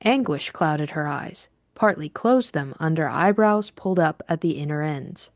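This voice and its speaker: Low-voiced woman narrating an audiobook. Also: expressive, a little slow, no room sound, clean studio sound. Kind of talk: scripted literary narration.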